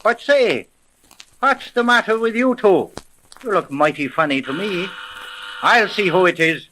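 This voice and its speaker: An actor playing a blunt, excitable police sergeant in a 1930s radio drama, with blustering, folksy speech. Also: male voice, sits high, a little slow, slight background noise, full character voice, poor audio. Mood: suspicious. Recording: vintage 1930s radio recording.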